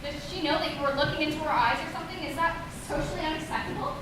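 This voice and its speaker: A woman playing a suspicious, excitable voice inside someone's head in a stage play. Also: sits high, very fast, lightly acted. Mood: alarmed, suspicious. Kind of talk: amateur one-act stage play acting. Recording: echoey distant stage recording, noisy.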